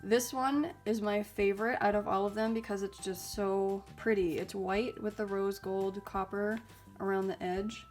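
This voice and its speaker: A young woman hosting a casual vlog, reviewing gadgets in a chatty, upbeat way with lots of ums and likes. She sounds a bit raspy and stuffy because she is getting over a cold. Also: mid-range voice, steady tone, normal speed, darkish tone, faint music behind. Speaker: YouTube host reviewing mini printers.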